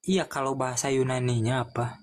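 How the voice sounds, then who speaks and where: A man's voice: medium pitch, flat delivery, brisk. Persistent man repeating his question. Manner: persistent, repeats one question, laughs mockingly. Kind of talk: heated online debate.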